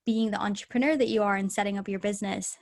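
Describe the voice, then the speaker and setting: A woman's voice: mid-range voice, steady tone, fast, vocal fry, a little mellow. A woman hosting a podcast, reading a scripted introduction and then asking her guest questions enthusiastically. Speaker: podcast host.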